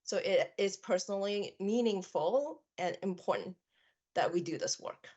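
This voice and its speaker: A woman from Malaysia introducing herself on a panel in a warm, personal way. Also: medium pitch, lively intonation, moderate pace, breathy edge, lo-fi sound. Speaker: brand director, panelist. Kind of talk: panel discussion.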